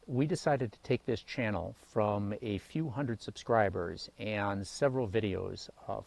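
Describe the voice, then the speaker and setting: A man's voice: on the low side, darkish tone. A man presenting a technology project channel, explaining and appealing to viewers in a scripted, direct-to-audience style.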